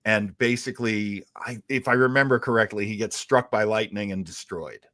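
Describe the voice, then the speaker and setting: A man's voice: fairly low pitch, moderate pace, pushed and bright, dry recording, clean studio sound. A man hosting a casual live talk show, speaking in an enthusiastic, rambling way with hesitant phrasing. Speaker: host of a livestream about classic radio and comics. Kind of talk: casual live chat commentary.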